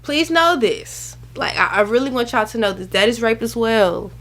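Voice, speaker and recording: A woman's voice: a little high, lively intonation, moderate pace, fairly bright tone. A woman in her early twenties talking straight to camera in a chatty, rambling, emphatic way, giving casual advice with plenty of slang. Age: early 20s. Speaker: vlogger. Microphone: camera mic.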